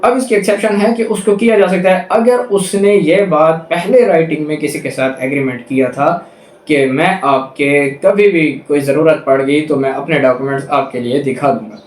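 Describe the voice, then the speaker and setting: A man with a Pakistani accent giving a law lecture, reading out statutes and explaining them. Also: a little high. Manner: slow, deliberate English reading, quicker Urdu explanations with English legal terms. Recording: echoey room, distant microphone.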